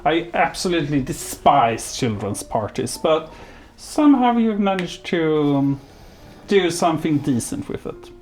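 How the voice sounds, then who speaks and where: Condescending society snob played by a man, animated intonation, improvised period roleplay. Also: a little high, unhurried. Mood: condescending politeness. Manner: haughty, politely condescending. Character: snobbish, child-disliking aristocrat at a dinner party.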